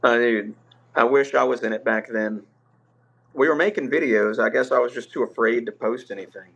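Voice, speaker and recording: A young man hosting a livestream, telling stories in a relaxed, rambling way with filler words, his voice a bit rough. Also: mid-range voice, normal speed, lo-fi sound. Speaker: livestream host. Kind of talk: casual livestream chat.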